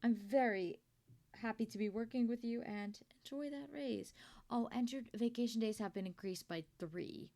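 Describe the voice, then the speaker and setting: A woman playing a kindly, proud older company founder in an ASMR roleplay, chatty and doting, with a creaky voice. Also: on the high side, normal speed, close to an everyday voice. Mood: pleased, businesslike. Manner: gentle, chatty, doting, with throat-clearing and rambling asides. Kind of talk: ASMR roleplay monologue to the listener.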